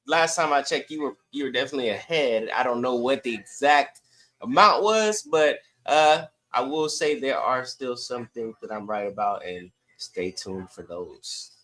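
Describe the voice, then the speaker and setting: A man with a middle-pitched voice speaks as a sports podcast co-host. His delivery is casual banter, like everyday talk between co-hosts. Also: normal speed, fairly bright tone.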